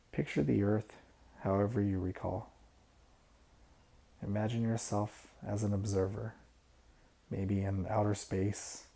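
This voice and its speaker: Male meditation guide: low, very dark and mellow, gravelly fry, soft and unhurried. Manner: soft-spoken, unhurried instruction with pauses.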